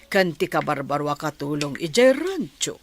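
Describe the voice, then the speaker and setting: A woman's voice: deep voice, big pitch swings, moderate pace, a little hiss or hum. A woman with an Ilocano Filipino accent playing a scheming, falsely sweet stepmother in a radio drama. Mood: insinuating, coaxing.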